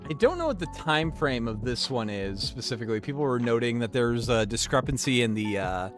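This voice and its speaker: A man with a stuffed, sniffly nose talks through a casual stream at a middle pitch. He rambles, trips over his words and starts lines again. Soft music plays faintly behind him. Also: big pitch swings, fairly quick. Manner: rambling, stammering restarts.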